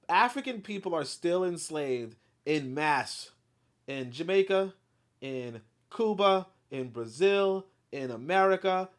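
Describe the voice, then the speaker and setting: Casting note: man on the higher side, reads a book aloud and then breaks into heated, blunt asides full of fillers and swearing. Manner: animated, blunt asides with profanity and many fillers. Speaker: host reading a book aloud with commentary. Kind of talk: book reading with heated live commentary.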